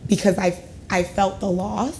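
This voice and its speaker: Woman guest, from Ghana, reflective, mid pitch, faint background noise. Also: steady tone, measured pace, vocal fry, a little mellow, rough recording. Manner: reflective, earnest, uses "like" and "right?". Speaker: guest, education consultant. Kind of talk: casual studio chat.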